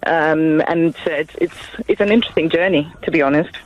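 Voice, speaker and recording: A woman's voice: deep voice, moderate pace, no echo. A woman from Zimbabwe, calling a radio phone-in and speaking thoughtfully, hesitating with fillers. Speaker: caller. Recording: dull phone line.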